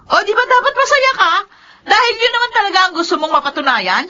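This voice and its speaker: Scornful, quick-tempered Filipino mother for radio drama: high, forceful voice, confrontational. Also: very animated, exaggerated voice. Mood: scornful, hurt. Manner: emotional, confrontational delivery. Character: bitter, combative mother, scornful and quick to fury. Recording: dull lo-fi radio drama audio.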